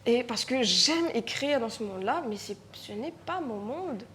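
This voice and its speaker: A woman from Mauritius with a Mauritian French accent, her voice sitting a little high. She speaks as a writer at a live conference panel, reflective and halting, often restarting and repeating words. A faint hiss lies under her voice. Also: expressive, a bit bright.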